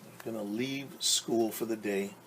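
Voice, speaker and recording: A man's voice: on the low side, steady tone, a little slow, slight background noise. A male preschool teacher reading a picture book aloud and explaining it in simple, instructive words for young children. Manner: simple, instructive phrasing addressed to small children.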